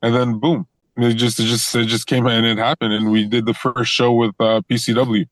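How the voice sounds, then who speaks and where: A young man from Toronto, a pro wrestler talking casually as an interview guest, relaxed, often repeating himself and laughing easily. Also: medium pitch, moderate pace, no room sound. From Toronto, Canada.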